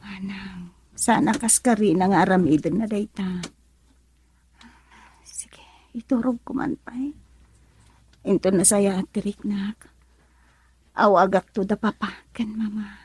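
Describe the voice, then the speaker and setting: Breathy, ailing, tired young wife. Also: female voice, medium pitch, takes it slowly, long pauses, darkish tone, no echo. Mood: weary, weak. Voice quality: breathy, weak. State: sick, weary, whispery. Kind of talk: radio drama acting.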